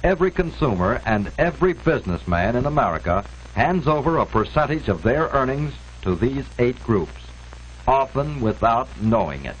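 A man's voice: mid-range voice, sing-song, moderate pace. A man delivering scripted 1940s-style documentary narration in a formal, emphatic way. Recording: dull, noisy old archival film soundtrack.

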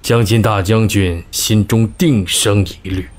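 Scheming court advisor for period audio drama, played by a man: lowish voice, unhurried, deferential, deliberate. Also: steady tone, close to an everyday voice. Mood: sly, assured. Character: shrewd, deferential male royal advisor, smoothly scheming. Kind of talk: Chinese costume radio drama acting.

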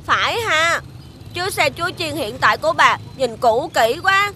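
A woman's voice: high voice, expressive, normal speed, sharp tone, slight background noise. A woman voicing a small, frank, friendly magical creature child in a children's cartoon, with a southern Vietnamese accent. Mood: curious.

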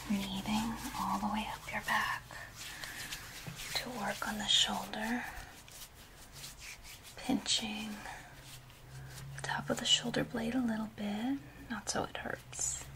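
A woman's voice: medium pitch, little pitch movement, takes it slowly, long pauses. A woman in her thirties whispering breathily in a soothing, instructive ASMR massage role play. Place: small indoor room. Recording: clearly noisy, with rubbing sounds.

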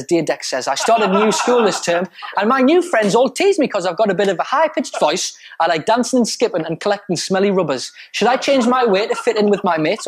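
A young man presenting a children's TV sketch as a smug, mock-earnest agony uncle in a studio. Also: very high voice. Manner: smug, sarcastic, over-earnest.

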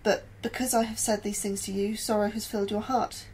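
A woman's voice: mid-range voice, flat delivery, brisk, darkish tone, lightly acted. A woman playing an anxious, guilt-ridden astrobiologist in a sci-fi horror audio drama. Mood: reciting scripture. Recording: clear background noise and ambience.

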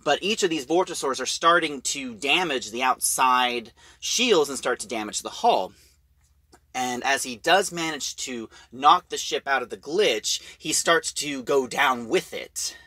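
A man giving an informal spoken review, retelling a plot in a halting, emphatic storyteller's way. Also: mid-range voice, lively intonation, sharp tone, slightly rough, dry recording.

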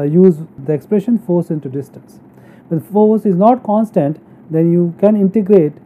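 Phone-like recording of a halting male lecturer. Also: sits high. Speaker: engineering lecturer. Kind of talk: explanatory technical lecture over slides. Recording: narrow phone-like sound.

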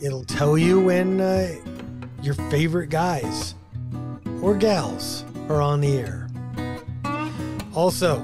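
An American man in his fifties giving a casual, halting explainer to camera as a video host, thinking aloud in an informal way. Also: a little high, expressive, music in the background. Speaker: ham radio video host. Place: small home radio room, indoors. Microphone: desk broadcast microphone on boom arm.

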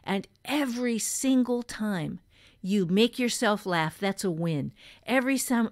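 A woman co-hosting a podcast, giving blunt, emphatic writing advice in a conversational way. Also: medium pitch. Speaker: writing teacher and podcast co-host.

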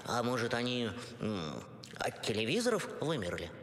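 A man voicing a timid, polite young cartoon hedgehog, speaking in a gentle, hesitant and naive way. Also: mid-range voice, wildly swooping pitch, moderate pace. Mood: curious, naive. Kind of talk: animated film character acting. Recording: prominent film music behind.

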